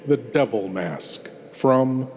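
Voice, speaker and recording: Slow, portentous man in long reverb. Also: medium pitch, lively intonation, darkish tone. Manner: portentous, deliberate, dramatic pauses. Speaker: horror show host. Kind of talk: theatrical scripted horror narration.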